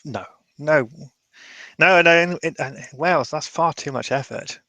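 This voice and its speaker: A man playing in a tabletop roleplaying game, improvising casually in a halting way and repeating words. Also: a little high, bright, forceful tone, no echo.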